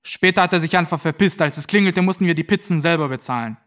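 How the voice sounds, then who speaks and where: A man's voice: fairly high pitch, quick pace, fairly bright tone, lightly acted. An actor playing a tough, crude young gang member, telling his story in brazen slang in an audio drama. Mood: brazen, scornful. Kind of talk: narrated monologue in a German audio play.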